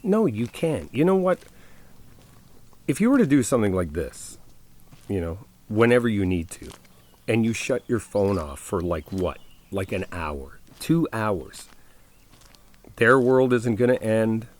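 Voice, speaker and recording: A man acting out a caring best friend in an ASMR audio roleplay, with a low-pitched male voice. He speaks casually and directly to the listener, coaxing and reassuring, gently insistent. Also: very animated, a little slow, long pauses. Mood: earnest, insistent.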